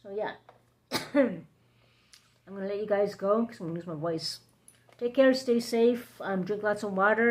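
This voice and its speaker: A woman with a hoarse, scratchy throat, hosting a rambling late-night livestream chat from home, halting and drifting between topics. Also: medium pitch, a little mellow. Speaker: livestream host.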